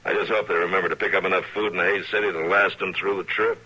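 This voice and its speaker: An actor with an American accent playing a watchful, lonely US marshal in a Western radio drama, speaking in terse, measured lines. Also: male voice, deep voice, fairly even intonation, sharp tone, breathy edge, exaggerated voice. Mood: calm. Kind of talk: 1950s-60s Western radio drama acting. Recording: noisy old radio broadcast.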